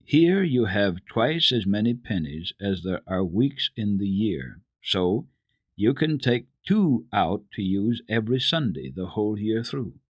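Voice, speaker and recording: A man voicing a kindly, indulgent old grandmother in a storybook, explaining things gently and patiently. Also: on the low side, big pitch swings, measured pace, no room sound.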